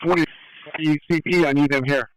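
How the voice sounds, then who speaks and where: Cop on the radio calling for backup, clean voice, dry scanner audio, direct. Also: male voice, fairly high pitch, steady tone, normal speed, fairly bright tone, clear, resonant tone, no echo, low-quality recording. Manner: urgent, direct requests. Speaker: police officer on radio. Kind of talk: police radio traffic.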